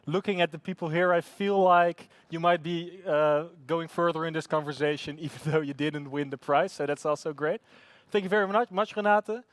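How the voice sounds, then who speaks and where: An upbeat man hosts a live event, pausing often on fillers. His voice sits a little high, and the sound is dry and close. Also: fairly even intonation, dry recording. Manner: upbeat, hesitant with many fillers. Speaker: event host.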